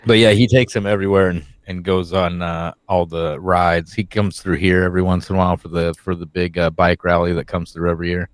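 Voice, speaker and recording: A very deep-voiced man talking as a podcast guest, easygoing and relaxed. The recording is dry and close, with no room sound. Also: a little fast, hardly any pauses, no echo. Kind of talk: casual chat.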